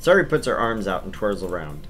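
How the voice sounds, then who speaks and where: A man's voice: on the low side, sing-song, brisk, a bit bright, faint music behind. A man streaming a visual novel read-aloud, switching between playful narration and casual chat.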